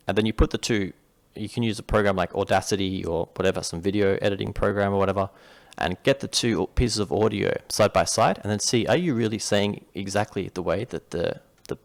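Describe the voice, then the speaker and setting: Gravelly low-voiced Australian tutor. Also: male voice. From Western Australia. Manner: chatty, rambling explanations with restarts. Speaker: Japanese tutor. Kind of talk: casual screen-share reading lesson.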